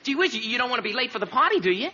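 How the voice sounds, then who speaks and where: An actor playing a flustered, lovestruck, excitable teenage boy with a stammering, earnest manner in a vintage radio sitcom. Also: very high-pitched, rapid-fire, over loud music. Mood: defensive. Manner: gushing, stammering, gee-whiz earnestness. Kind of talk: 1940s radio sitcom acting. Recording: dull, noisy old radio recording.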